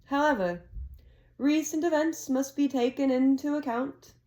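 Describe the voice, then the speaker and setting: A woman reading aloud as an old, wise male headmaster, speaking in a calm, measured, formal way as he announces to a hall. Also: very high-pitched, voice pushed up. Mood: formal, kindly.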